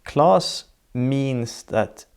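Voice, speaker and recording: A man with a Swedish accent, a Swedish native speaker, answering interview questions as an architect in a measured, reflective way, laying out ideas step by step. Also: medium pitch, lively intonation, creaky, a little mellow, clean tone. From Sweden. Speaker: architect guest. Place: sitting indoors in Norway.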